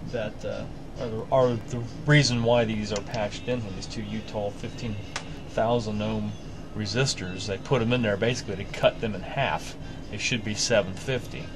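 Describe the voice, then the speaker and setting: A man's voice: mid-range voice, noisy background. A man giving an informal, hands-on repair walkthrough, thinking aloud in a folksy way as he goes. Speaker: host of a radio repair video.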